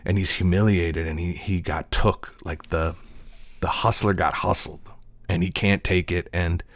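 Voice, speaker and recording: Low-voiced man musing at his desk. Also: steady tone, unhurried, no room sound. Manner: digressive, reflective storytelling. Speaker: voice-over actor. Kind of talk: casual solo monologue.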